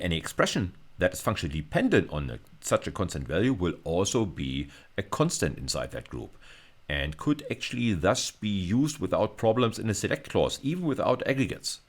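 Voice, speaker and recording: A man lecturing at university level in an informal screencast style, explaining hesitantly and often repeating and rephrasing himself. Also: sits low.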